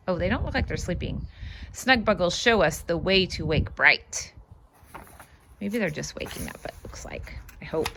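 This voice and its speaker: A woman reading a children's storybook aloud in an expressive, sing-song way, with casual asides to her young listeners. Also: medium pitch, slight background noise. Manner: animated, sing-song rhyming delivery. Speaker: children's read-aloud host and fitness instructor.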